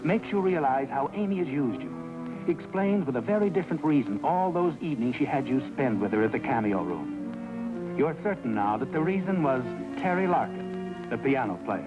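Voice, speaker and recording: An actor playing a knowing, sardonic narrator of a 1950s crime anthology, taunting the listener in an insinuating way. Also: male voice, mid-range voice, sing-song, moderate pace, close to an everyday voice. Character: knowing, taunting, unseen male narrator of fate. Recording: muffled old broadcast soundtrack. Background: loud music.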